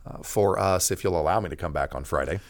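A man's voice: sits low, quick pace, very noisy. A middle-aged American man giving a personal testimony in an interview, speaking emphatically like a preacher in short, repeated phrases. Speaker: pastor guest telling his testimony.

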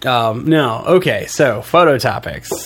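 A man's voice: medium pitch, expressive, a little slow, heavy background noise. Wheezy man chatting on a podcast. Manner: joking, chuckles often, rambling explanations. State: wheezy from allergies. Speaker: podcast co-host. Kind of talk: casual podcast chat.

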